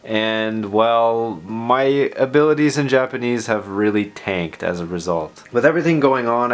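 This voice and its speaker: A man narrating a personal vlog in an informal, unscripted, self-deprecating way, rambling as he goes. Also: on the low side. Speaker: video host.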